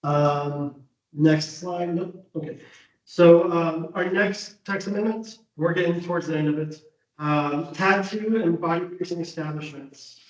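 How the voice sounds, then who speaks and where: A man, a planning board member, explaining proposed text amendments at a city meeting, measured and full of "uh" and "um". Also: on the high side. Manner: measured, with frequent "uh" and "um". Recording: echoey chamber, distant muffled mic.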